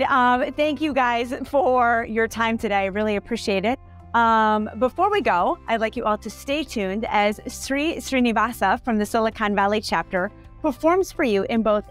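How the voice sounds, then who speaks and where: A woman's voice: fairly high pitch, a little slow, fairly bright tone, faint music behind. A woman hosting a casual interview chat, asking friendly, upbeat questions. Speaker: host.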